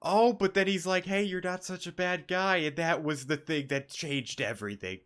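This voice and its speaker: A man's voice: sits high, normal speed, a bit bright. A young man streaming a game with casual, slangy, sweary commentary and joking asides. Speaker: gaming streamer.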